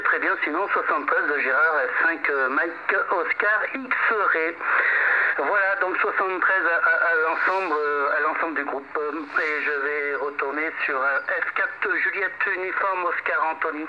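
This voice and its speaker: A man with a French accent from France, talking casually as an amateur radio operator over a radio link. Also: a little high. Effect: radio transmission. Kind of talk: casual ham radio contact. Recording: muffled, very noisy shortwave radio.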